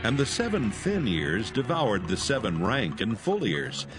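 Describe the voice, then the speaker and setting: A man's voice: lowish voice, big pitch swings, unhurried. A man narrating scripture as a dramatized reading, in a solemn and formal manner. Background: soothing background music.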